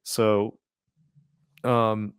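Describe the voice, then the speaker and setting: A man's voice: fairly low pitch, level tone, extremely slow, smooth, dry recording, clean studio sound. A man co-hosting a podcast, chatting casually about superhero films in a rambling, informal, slangy way. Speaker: podcast co-host.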